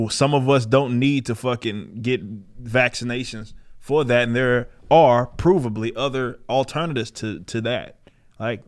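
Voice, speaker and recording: Combative, sweary man hosting a podcast. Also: mid-range voice. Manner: combative, sweary, challenging, emphatic. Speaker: podcast host. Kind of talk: opinionated casual commentary on a live stream.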